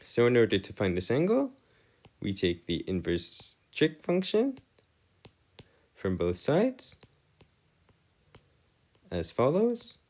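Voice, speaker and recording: A man giving an explanatory maths lesson as a tutor, with formal, wordy phrasing and halting, hesitant delivery. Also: mid-range voice, wildly swooping pitch, a little mellow. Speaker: math tutor. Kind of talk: explanatory maths lesson over written working.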